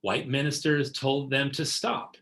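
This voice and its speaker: A man narrating a children's picture book in a deliberate storytelling delivery, stressing key words. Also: mid-range voice, fairly even intonation, a bit bright. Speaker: read-aloud narrator. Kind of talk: scripted picture-book read-aloud for children.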